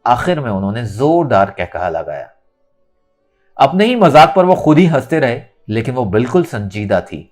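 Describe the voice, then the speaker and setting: Male narrator, mid-range voice, highly varied intonation, dramatic novel reading, faint music underneath. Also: big pitch swings, moderate pace, a little mellow. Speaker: audiobook narrator.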